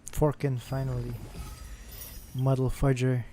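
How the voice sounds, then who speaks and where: A man's voice: mid-range voice, little pitch movement, measured pace, warm tone, a little hiss or hum. A man streaming a video game, muttering casual commentary to himself as he plays. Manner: mutters to himself while playing.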